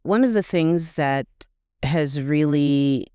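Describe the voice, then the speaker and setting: Reflective woman guest with a smooth voice. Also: deep voice, dry recording, clean studio sound. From Montreal, Canada. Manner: warm, reflective, many "um" pauses. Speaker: podcast guest, grief support group co-founder. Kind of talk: casual interview conversation.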